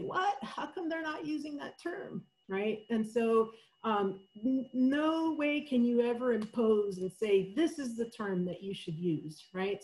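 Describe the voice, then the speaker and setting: A woman's voice: mid-range voice, darkish tone, low-quality recording. Woman, from El Salvador, college presenter, webinar on a video call, thoughtful, lots of fillers, says "right?" often. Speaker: college professor presenting survey findings.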